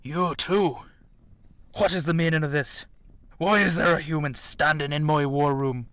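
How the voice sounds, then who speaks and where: A man's voice: on the high side, steady tone, unhurried, fairly bright tone, clean tone, dry recording. A man playing a proud, stern old dragon king and warlord father in an audio drama, speaking in a formal, commanding way. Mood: menacing. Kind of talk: dramatic audio roleplay acting.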